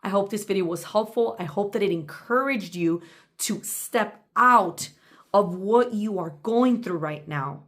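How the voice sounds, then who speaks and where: A woman gives a faith-based motivational talk in a mid-pitched voice. She speaks deliberately and with emphasis, addressing the listener directly, as if coaching them through notes from her own journal.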